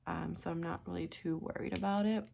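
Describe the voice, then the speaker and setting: A young woman with a raspy, congested voice from a sinus infection, chatting casually through a quick makeup tutorial with lots of fillers. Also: fairly low pitch, steady tone, a little mellow. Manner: chatty, rambling with many fillers. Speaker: beauty vlogger.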